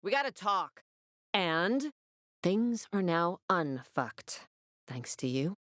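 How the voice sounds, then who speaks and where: Low-voiced, tough military officer. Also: female voice, big pitch swings, slow, a bit bright, dry recording, studio quality, heavily acted. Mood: tense, gruff. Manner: clipped, authoritative, no-nonsense. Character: tough, commanding female military officer, curt and foul-mouthed. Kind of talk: video game voice acting.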